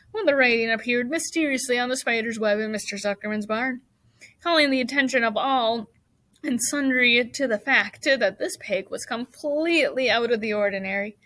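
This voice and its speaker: Here is a pompous storybook fair announcer played by a woman, formal and booming. The pitch is on the high side and the delivery is grandly declamatory. The sound is dry, with no room around it. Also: a bit bright, dry recording, lightly acted.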